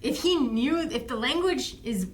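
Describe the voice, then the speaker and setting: A woman in her forties from Hawaii, chatting casually as co-host of a reaction show, animated and quick to laugh. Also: high-pitched, slight background noise. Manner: animated, laughs often, trails into asides. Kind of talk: casual chat.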